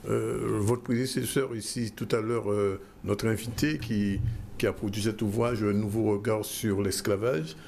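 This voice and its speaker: A man's voice: fairly low pitch, steady tone, moderate pace, vocal fry, a little mellow, slight background noise. A male host casually asking a guest questions on a talk show. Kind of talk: radio talk show interview.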